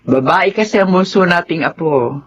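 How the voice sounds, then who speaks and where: An actor playing an opinionated old grandfather in a Filipino radio drama, husky and emphatic, lecturing. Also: high-pitched, normal speed. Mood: firm, advising. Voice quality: husky, thin aged voice. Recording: dull lo-fi radio recording.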